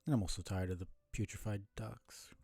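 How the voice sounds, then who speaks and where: Low-voiced man, mellow streamer. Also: steady tone, fairly quick, creaky, husky, dry recording, soft background music. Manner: low-key, musing, thinking aloud. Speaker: video game streamer. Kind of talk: casual live playthrough commentary.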